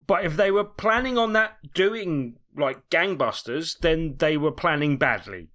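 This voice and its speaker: Co-host, British man, bright sharp tone, slightly higher voice, hesitant musing. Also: lively intonation, measured pace. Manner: hesitant, thinks aloud. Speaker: podcast co-host. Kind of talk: casual banter.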